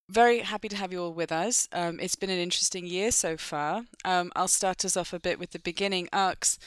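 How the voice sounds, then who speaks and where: Female interviewer, chatty and friendly, low-ish, very clean tone, dry close recording. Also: clear, resonant tone, no echo. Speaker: interviewer, host. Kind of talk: podcast interview.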